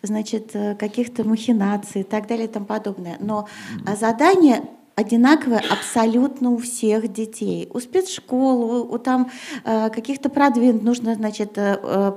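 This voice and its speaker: Hesitant woman teacher with a mellow voice. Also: fairly high pitch, normal speed. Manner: hesitant, many fillers, rising to emphatic. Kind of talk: panel discussion. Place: gymnasium hall in front of an audience.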